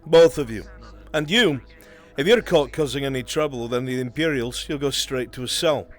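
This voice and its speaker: A man's voice: medium pitch, brisk, faint music behind, natural voice. A man playing a stern, commanding town guard officer in a live fantasy roleplay, curt and authoritative. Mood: stern, forceful.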